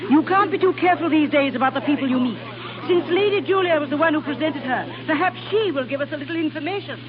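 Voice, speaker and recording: An actress playing a genteel, brisk society lady in a 1930s radio drama. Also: high-pitched, a crowd in the background, natural voice. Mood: gossipy. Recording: tinny old radio broadcast.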